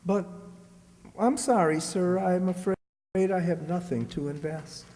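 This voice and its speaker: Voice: mid-range voice, a little slow, mellow, close to an everyday voice. An actor playing a humble, affectionate young servant boy in a children's radio play. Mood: shy, polite. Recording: reverberant hall recording.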